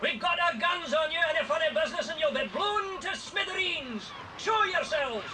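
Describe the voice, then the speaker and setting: An actor playing a loud, emphatic armed airman calling out in a children's audio adventure drama. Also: extremely high pitch, lively intonation, moderate pace. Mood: threatening. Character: loud gruff armed airman hailing from seaplane. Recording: distant, noisy old cassette story tape.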